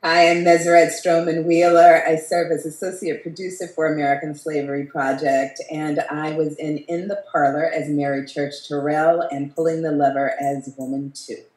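Woman introducing herself, pretty flat tone, echoey video call. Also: deep voice, normal speed, few pauses. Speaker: actress and associate producer. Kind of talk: panel self-introduction. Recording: roomy video call sound.

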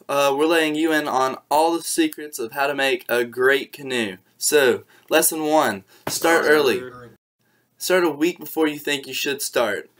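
A man's voice: medium pitch, a bit bright. A young man in a bedroom giving upbeat tips straight to his webcam. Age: young adult. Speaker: student presenter. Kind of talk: presenting tips to camera. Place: bedroom, indoors.

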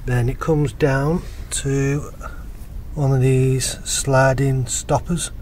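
A man, casually explaining his fishing rig in a relaxed, plain-spoken vlog, recorded outdoors by a lake on a camera mic. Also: medium pitch, slow, a little hiss or hum. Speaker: angler showing a fishing rig.

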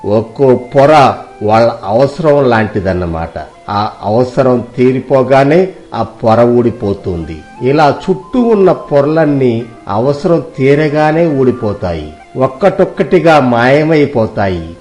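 A man playing a calm, knowing monk in a moral story, speaking in a measured, fatherly, preaching manner. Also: mid-range voice, faint music behind. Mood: patient, preaching. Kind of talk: Telugu moral story dramatization.

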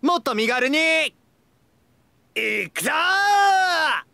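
A man playing a hyperactive, cheerful, childlike young goofball in an anime mobile game, with a bright boyish voice and an exuberant manner. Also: very high voice, very animated, drawn-out words, vocal fry, dry recording, full character voice. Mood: wildly excited. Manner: exuberant, bursting into shouts and laughs.

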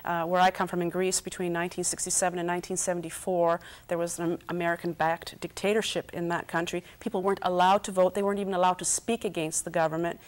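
A woman's voice: lowish voice, little pitch movement, fast, hardly any pauses. A middle-aged woman from Canada, a politician guest on a TV studio panel, speaking earnestly and often repeating words as she thinks. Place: TV studio set, indoors.

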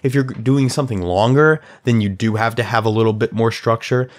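A young man with a slightly raspy voice, explaining music production in a relaxed, chatty way from a small room at home. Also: mid-range voice, brisk. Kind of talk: casual tutorial walkthrough.

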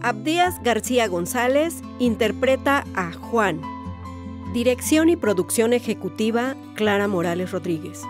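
A woman's voice: a little high, animated, measured pace. A woman reading scripted credits as an announcer for a radio drama. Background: plucked guitar music.

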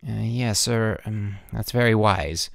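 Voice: low voice, sing-song, moderate pace, no room sound, clean studio sound. A narrator voicing a mild, henpecked middle-aged headmaster in a storybook, hesitant and mumbling. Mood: flustered.

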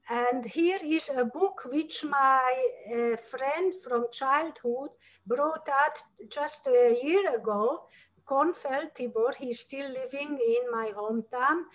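An older woman speaking haltingly over a video call, with many fillers and restarts. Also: high-pitched, a bit bright. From Slovakia, Hungarian-speaking border town. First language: Hungarian. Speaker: interviewee, artist and Holocaust survivor's daughter. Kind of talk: video-call interview, showing slides. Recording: dull lo-fi call audio.

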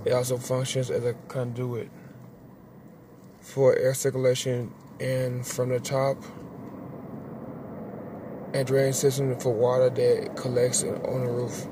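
A man's voice: medium pitch, monotone. Man stumbling through a news read in a car. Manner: halting reading, stumbles and restarts words. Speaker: vlogger reading the news aloud. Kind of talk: reading a newspaper article aloud with asides. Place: inside a parked car at dusk. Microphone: phone held at arm's length. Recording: phone audio with clear car and road noise.

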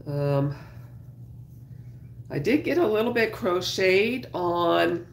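Raspy, congested woman streaming from home. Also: on the low side, expressive, takes it slowly, echoey room, a little hiss or hum. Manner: chatty, greets viewers while crocheting. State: congested, sore throat. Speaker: craft livestream host. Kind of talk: casual livestream chat. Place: small home craft room, indoors.

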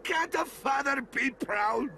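An actor playing a big, proud, hearty Scottish warrior father in an animated game, blustering and gravelly. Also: very high-pitched, slow, noisy background, exaggerated voice. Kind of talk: animated game character acting. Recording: music behind. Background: game music.

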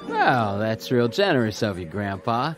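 Casting: wisecracking barkeep, slangy and sly, mid-range voice with lots of swing. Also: male voice, mid-range voice, sing-song, a little slow, faint music behind. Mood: slyly helpful. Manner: slangy, casual, ingratiating. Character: brash, sly, wisecracking barroom bartender. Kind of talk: radio drama acting.

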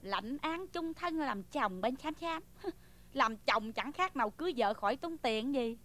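An actress playing a loud, quarrelsome woman in a comic sketch, scolding and exclaiming in a Southern Vietnamese accent. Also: high voice, animated, a little slow. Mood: scornful. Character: loud, bossy, quarrelsome woman threatening to chop a cheat. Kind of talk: comic stage sketch acting. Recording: music behind the voice.

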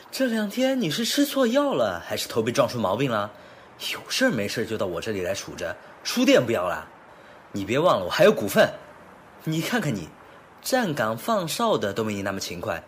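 A man's voice: medium pitch, a little hiss or hum. A young man acting in an audio drama as a blunt, sardonic best friend who probes and teases.

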